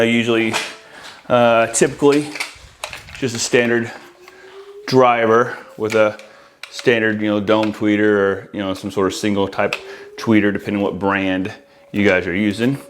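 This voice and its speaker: Man casually explaining gear over noisy clatter. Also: fairly low pitch. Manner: informal, explanatory, addresses viewers as guys. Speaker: audio installer presenting a product. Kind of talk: casual product walkthrough. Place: small room indoors, home office. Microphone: lavalier clipped on shirt.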